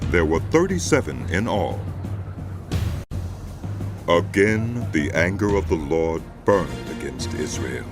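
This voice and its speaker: A man narrating a dramatized audio Bible in a solemn, measured, scripted storytelling style. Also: sits low, very animated. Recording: music behind the voice. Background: background music.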